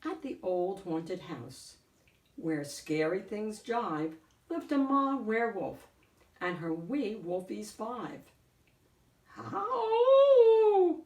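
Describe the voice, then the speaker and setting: Slow, animated woman storyteller in echoey room. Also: medium pitch, recorded from a distance. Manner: deliberate, theatrical storytelling. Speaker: host of children's book read-aloud. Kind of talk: expressive picture-book narration.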